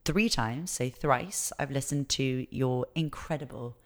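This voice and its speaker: A podcast host interviewing a guest, chatty and enthusiastic with quick asides. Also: mid-range voice. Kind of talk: casual interview chat.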